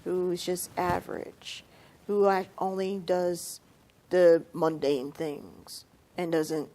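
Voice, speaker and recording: A woman giving a measured, explanatory answer as a panelist on a talk show. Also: lowish voice, flat delivery, mellow.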